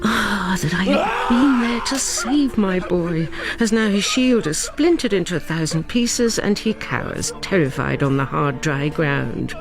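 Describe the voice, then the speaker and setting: Slow, brooding enchanted mother narrating. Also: mid-range voice, animated, music in the background. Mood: anguished. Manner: theatrical, measured storytelling. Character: brooding enchanted mother, doting bitterly on her son. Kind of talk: dramatic narration in radio drama.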